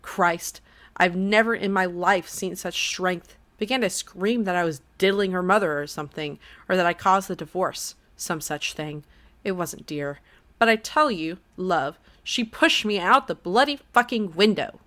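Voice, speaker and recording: A woman's voice: on the low side, measured pace, fairly bright tone. A woman voicing a crude, whiny dead man. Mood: whiny indignant. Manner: whiny, pathetic, swearing.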